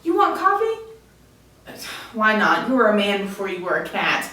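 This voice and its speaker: A woman's voice: medium pitch, big pitch swings, normal speed, bright, forceful tone. A woman at a table read playing an indignant, vengeful witchy woman, in an echoey room far from the microphone. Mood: weary. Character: indignant, vengeful witchy woman who talks to her cat. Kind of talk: amateur screenplay table read.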